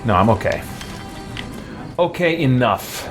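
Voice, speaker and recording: A man's voice: medium pitch, animated, slow pace, over music. A man giving casual, conversational gameplay commentary, thinking aloud as he plays. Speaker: let's play host.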